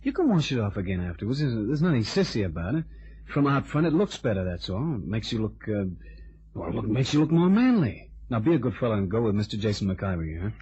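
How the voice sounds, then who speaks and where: An actor playing an easygoing, friendly stagehand who talks casually, in an old radio drama. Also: male voice, lowish voice, big pitch swings, fairly quick, a little mellow, husky, highs cut off, full character voice. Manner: casual, friendly, hesitant fillers.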